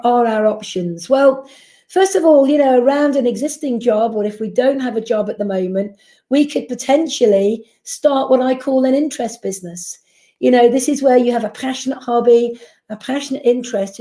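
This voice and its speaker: Woman, business presenter, persuasive, mellow tone, echoey room. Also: fairly high pitch. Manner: persuasive, rhetorical questions. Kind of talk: sales presentation.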